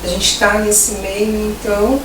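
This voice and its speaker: A woman's voice: mid-range voice, little pitch movement, measured pace. Enthusiastic female guest, echoey room. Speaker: president of an artists' association, guest. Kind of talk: casual talk show chat. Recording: echoey, distant, with noise.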